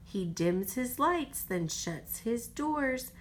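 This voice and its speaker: A woman reads a bedtime storybook aloud at a slow, unhurried pace. Her pitch swings freely and expressively, as a mother reading to her young child does. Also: slight background noise. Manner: expressive, drops to whispers for goodnights.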